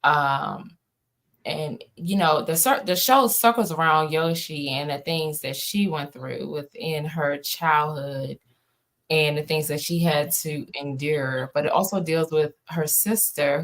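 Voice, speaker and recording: Low-pitched woman, playwright guest, casual interview, emphatic, rambling, conversational. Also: a little slow, fairly bright tone. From Washington, D.C. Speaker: playwright and director being interviewed.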